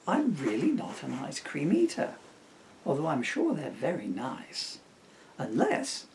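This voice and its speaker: A man's voice: a little high, huge pitch swings, unhurried, vocal fry, darkish tone, slight background noise, heavily acted. A man voicing a hopeful, sheepish magic unicorn in a children's storybook.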